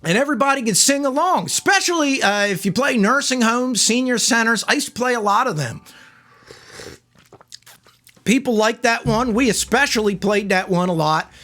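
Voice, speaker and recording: A hoarse man giving a relaxed, chatty banjo lesson, with banjo playing under his voice. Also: extremely high pitch, normal speed. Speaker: banjo teacher. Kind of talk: casual video tutorial. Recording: loud banjo over the voice.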